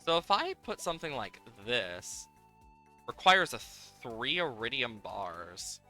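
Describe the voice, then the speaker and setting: A man's voice: mid-range voice, very animated, slow pace, sharp tone. A man giving casual, playful live commentary on a game, thinking aloud as he plays, with game music behind him. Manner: playful, hums and makes sound effects while talking.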